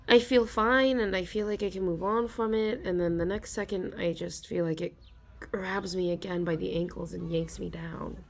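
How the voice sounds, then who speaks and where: A young woman in a soft ASMR role-play, confiding hesitantly as an anxious office worker, sighing and stopping to restart her sentences. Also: fairly low pitch. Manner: hesitant and self-deprecating, with frequent sighs and halting restarts.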